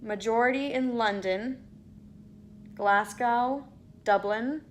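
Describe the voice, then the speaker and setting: A woman's voice: fairly high pitch, slow pace, slight background noise. A young woman vlogging casually, reading results aloud with chatty asides and tripping over place names.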